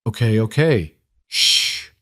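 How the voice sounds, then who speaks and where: Soft-spoken firefighter guy, read by a male narrator, calm and reassuring, lowish voice, dry. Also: moderate pace, no room sound. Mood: soothing. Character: gentle male volunteer firefighter.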